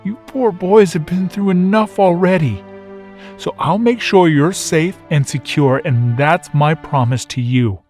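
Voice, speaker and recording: A man playing a protective master of orphaned boys, speaking in a formal, measured, earnest way in a manga dub. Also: fairly high pitch, a little mellow, soft background music, lightly acted. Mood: earnest reassurance.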